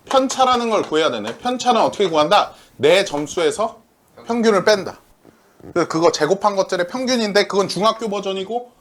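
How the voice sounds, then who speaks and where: Male math teacher, high and sing-song, talks his sums out loud, faint hiss behind. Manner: lively, asks questions and answers them himself; talks through arithmetic aloud. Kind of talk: classroom math lecture.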